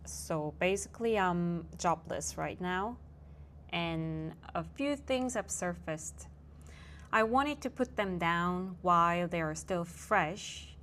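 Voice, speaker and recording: A young woman with a Korean accent, speaking English as a second language in a reflective personal monologue, thoughtful and soft-spoken with frequent fillers. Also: on the low side, slow, slight background noise. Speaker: vlogger.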